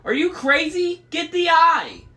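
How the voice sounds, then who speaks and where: A man's voice: very high voice, very animated, a little slow, sharp tone, pitched above the performer's own voice, exaggerated voice. A man voicing bickering, spiteful old hag sisters in a screeching, frantic storybook delivery. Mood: panicked. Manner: screeching, wailing, quarrelsome delivery.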